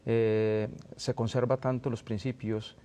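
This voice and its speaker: A man's voice: medium pitch, little pitch movement, measured pace, dark tone. A man in his thirties with a Colombian accent from Antioquia, a priest giving reflective, searching answers in an interview.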